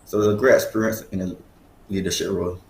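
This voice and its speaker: A man's voice: low voice, flat delivery, quick pace. A man who is an athlete and team manager, giving short interview answers. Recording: echoey room, faint noise.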